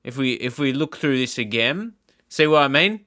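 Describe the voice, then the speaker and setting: A man's voice: medium pitch, animated, normal speed, sharp tone, dry recording, studio quality. A man giving casual reaction commentary as a gaming YouTuber, thinking aloud in a hesitant, rambling way. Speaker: video game YouTuber.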